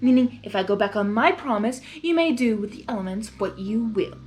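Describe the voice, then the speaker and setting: A woman's voice: on the high side, lively intonation, a little mellow, faint music behind, natural voice. A woman voicing a mischievous male chaos spirit from a storybook, smug and theatrical, drawing out his persuasive offers. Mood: sly, grandiose. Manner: theatrical, drawn-out persuasive delivery.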